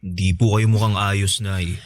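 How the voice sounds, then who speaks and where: A man playing a gentle, devoted adult son in a radio drama, respectful, coaxing and affectionate. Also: on the low side, level tone, a little slow, no echo, natural voice. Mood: concerned, coaxing. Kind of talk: Tagalog radio drama acting.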